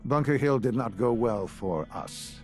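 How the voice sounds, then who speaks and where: An actor playing a calm, calculating older male leader of a science institute in a video game, speaking in a measured, formal way. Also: mid-range voice, faint music behind, lightly acted. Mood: grave, stern. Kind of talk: video game cutscene acting.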